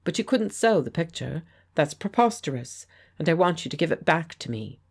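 A woman reading a genial, self-assured gentleman. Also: low-pitched, sing-song, normal speed, slightly rough, exaggerated voice. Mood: pleasant. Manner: pleasant, firm, persuasive. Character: genial, confident gentleman painter. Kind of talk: audiobook character voice.

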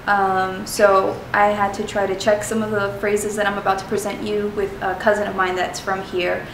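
A woman's voice: mid-range voice, little pitch movement, moderate pace, roomy sound, recorded from a distance, noisy background. A young woman, Spanish her first language, chatting casually to camera as she teaches travel phrases, with frequent ums and restarts. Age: young adult. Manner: chatty, self-deprecating, many ums and restarts. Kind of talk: casual explanatory lesson to camera.

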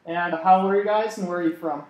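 Young male interviewer, fairly high voice, casual sports chat, roomy echo. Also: steady tone, quick pace, darkish tone. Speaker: interviewer, host. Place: living room, indoors. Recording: echoey room with faint background noise.

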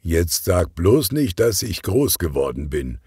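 Man as teen boy, cheeky, dark low voice, animated, storybook character. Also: a little slow, slightly rough, no room sound, studio quality, exaggerated voice. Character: cheeky fifteen-year-old boy with dark voice.

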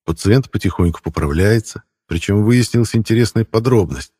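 Low dark husky male narrator. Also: lively intonation, a little fast, no echo, studio quality. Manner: wry, ironic storytelling. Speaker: audiobook narrator. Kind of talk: first-person audiobook narration.

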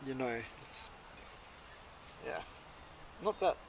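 A man's voice: mid-range voice, lively intonation, slow pace, background noise. A young man giving a casual, laid-back review to camera in a conversational way. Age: young adult. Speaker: chilli reviewer, video host. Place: outdoors in a leafy garden.

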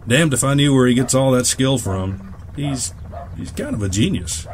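An actor in an audio drama playing a gruff, protective brother and airship man, folksy and insistent. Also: mid-range voice, expressive, moderate pace, noisy background, lightly acted. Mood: pleading, insistent. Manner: folksy, plain-spoken, insistent.

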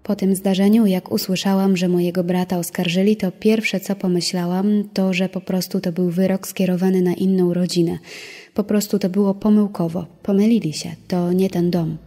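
A woman's voice: fairly low pitch, little pitch movement, normal speed, warm tone. A woman reading statements aloud in a reverberant recording. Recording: long reverberant tail on voice.

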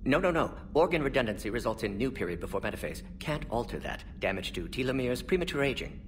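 An actor voicing a brisk, fussy old male alien scientist in a video game, speaking in clipped, clinical fragments. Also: mid-range voice, big pitch swings, distant mic, background noise, lightly acted. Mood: agitated, muttering. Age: older. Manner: clipped, staccato, drops small words, clinical.

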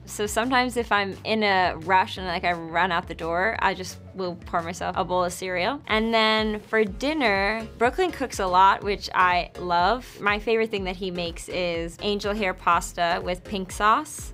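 A woman's voice: lowish voice, fairly bright tone. A young woman in her twenties chatting casually to the camera in a studio, often laughing and filling with "like". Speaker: interviewee. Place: indoor studio before a dark backdrop.

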